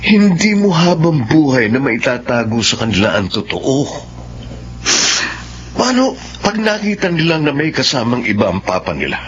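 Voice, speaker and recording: A calm, reasoning man's voice with a lively, shifting pitch, playing a confidant, acted by a man in a radio drama. The audio sounds muffled. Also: moderate pace, slight background noise, close to an everyday voice. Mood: concerned.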